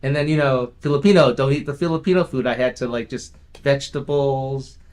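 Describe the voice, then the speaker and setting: A man's voice: mid-range voice, fairly even intonation, a little fast, darkish tone. A man, a film company owner, chatting easily as an interview guest, chuckling often and restarting his sentences with "you know". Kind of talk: casual interview chat.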